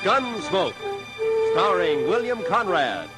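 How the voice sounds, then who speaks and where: A man's voice: sits high, sing-song, takes it slowly. A man with an American accent giving an emphatic, dramatic scripted introduction to an old-time radio western. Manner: emphatic, portentous. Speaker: show announcer. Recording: vintage radio, loud music behind. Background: loud orchestral theme music.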